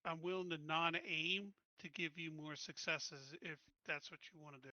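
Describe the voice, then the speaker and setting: A man playing a tabletop game over a voice call, talking strategy with quick restarts. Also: on the high side, steady tone, bright, forceful tone, dry recording, lo-fi sound. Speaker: player. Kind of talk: casual game table talk.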